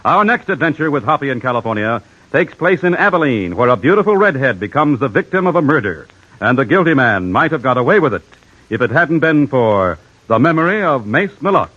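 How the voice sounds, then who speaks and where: Animated American old-time radio announcer, male. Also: mid-range voice, brisk. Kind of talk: 1950s scripted radio announcing. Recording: dull old radio recording. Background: music.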